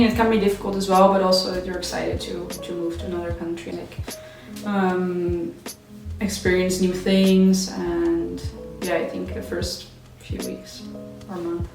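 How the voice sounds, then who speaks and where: A woman's voice: lowish voice, creaky. A woman in her twenties from the Netherlands, an exchange student giving casual interview answers with hesitant fillers. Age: 20s. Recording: very echoey room, mic far off.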